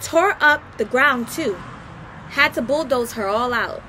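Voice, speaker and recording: A woman's voice: high-pitched, animated, measured pace, sharp tone, a little hiss or hum, exaggerated voice, rough recording. A woman voicing a chatty small-town diner counterman, folksy and matter-of-fact, in a storybook reading.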